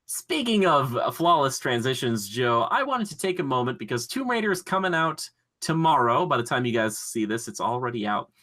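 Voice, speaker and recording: Bright-voiced man hosting a casual podcast. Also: medium pitch, sing-song, a little fast, few pauses. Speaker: podcast host. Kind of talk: casual pop-culture podcast chat.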